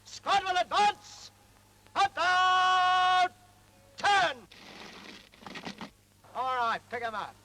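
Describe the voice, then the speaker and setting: An actor playing a strict British army corporal drilling new recruits with clipped commands, in a 1940s film. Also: male voice, extremely high pitch, expressive, extremely slow, pauses often, pushed and bright, slight background noise, full character voice, rough recording.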